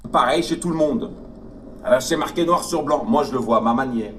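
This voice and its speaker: A man's voice: mid-range voice, brisk, a bit bright. A man explaining his view in a casual, hesitant way with many fillers. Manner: conversational, hesitant, lots of fillers. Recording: distant mic with ambient noise.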